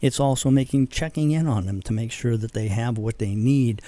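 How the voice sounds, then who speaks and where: A man's voice: mid-range voice, moderate pace, warm tone, no echo. An older man from Boston, a veterans organization leader, speaking earnestly as a guest in a radio interview, with fillers and restarts.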